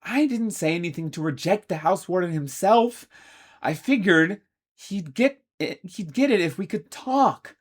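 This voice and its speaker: A man's voice: high voice, expressive, measured pace, voice pushed up. A man voicing an earnest, serious teenage boy student in a raised voice.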